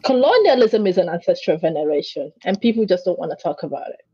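Husky woman, Greek native speaker. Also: fairly low pitch, fast, no room sound, lo-fi sound. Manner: animated storytelling, acts out quoted voices. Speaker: guest. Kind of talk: conversational interview.

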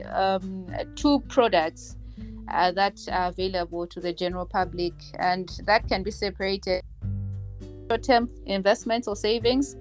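A woman in her thirties from Malawi, a finance executive, explaining things at a measured pace as a podcast guest, with many ums and uhs. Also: mid-range voice. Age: 30s. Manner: measured, explanatory, with frequent fillers. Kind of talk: podcast interview.

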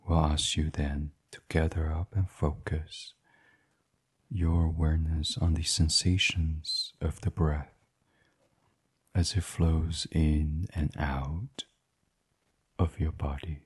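Very deep, husky man guiding sleep meditation. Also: flat delivery. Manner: soothing, gentle, unhurried. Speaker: guided meditation host. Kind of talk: gentle guided meditation reading for sleep.

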